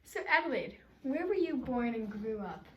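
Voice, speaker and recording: A woman's voice: a little high, lively intonation, normal speed, fairly bright tone, distant mic, highs cut off. A woman interviewer putting questions to a guest in a staged interview. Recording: echoey room, clear background noise.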